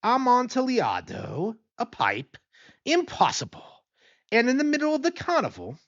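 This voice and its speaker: A man's voice: extremely high pitch, huge pitch swings, unhurried, fairly bright tone, highs cut off, pitched above the performer's own voice, exaggerated voice. A man voicing a tipsy, proud wine connoisseur in a storybook reading, exclamatory and dismissive. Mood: incredulous, excited. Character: tipsy, proud, excitable male wine connoisseur in carnival motley.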